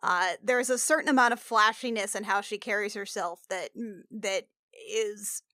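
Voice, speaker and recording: A woman's voice: medium pitch, normal speed, pushed and bright, no echo, clean studio sound. A woman chatting casually as a podcast panelist, thinking aloud in loose, hesitant phrases. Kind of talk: casual chat.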